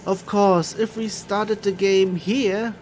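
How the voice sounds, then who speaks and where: A woman streams a video game with casual commentary. She speaks very slowly and haltingly at a middling pitch, thinking aloud over faint background noise. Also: fairly even intonation, darkish tone. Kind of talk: casual live playthrough commentary.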